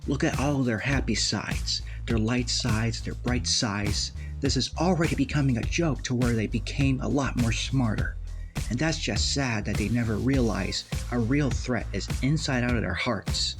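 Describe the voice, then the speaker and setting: Man acting a scheming cartoon villain, smug, taunting, middle pitch, music behind. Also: normal speed, lightly acted. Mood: scornful. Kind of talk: amateur animated fan drama acting. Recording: music under the voice.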